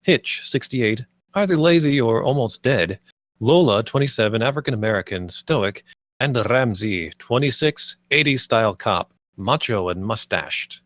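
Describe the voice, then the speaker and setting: A man's voice: mid-range voice, expressive, measured pace, fairly bright tone, no room sound. A man reading stage directions as scripted narration at a screenplay table read.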